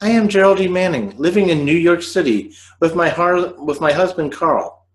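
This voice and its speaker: A man's voice: on the high side, lively intonation, normal speed, voice pushed up, lightly acted, poor audio. A man voicing a sweet young newlywed wife in an amateur reading of an old 1930s radio drama, with wistful, stagey delivery. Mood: fond.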